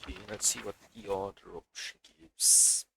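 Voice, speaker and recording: A man's voice: fairly low pitch, fairly even intonation, normal speed, gravelly, noisy background. A man giving casual commentary as he plays a game on a live stream. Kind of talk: casual let's play commentary.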